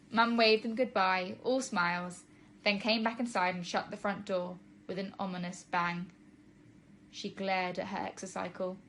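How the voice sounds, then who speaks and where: A woman's voice: medium pitch, a bit bright, slight background noise. A woman reading a children's storybook aloud as a narrator, like a teacher to pupils.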